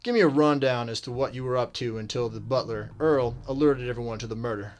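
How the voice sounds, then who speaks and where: An actor playing a composed, courteous murder detective in a radio drama, speaking formally and with authority. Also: male voice, mid-range voice, fast talker, a little hiss or hum, natural voice. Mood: probing.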